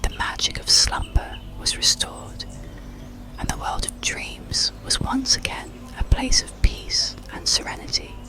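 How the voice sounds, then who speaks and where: Slow whispering ASMR storyteller. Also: low-quality recording. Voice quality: breathy unvoiced whisper. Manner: soothing, deliberate. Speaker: audiobook storyteller.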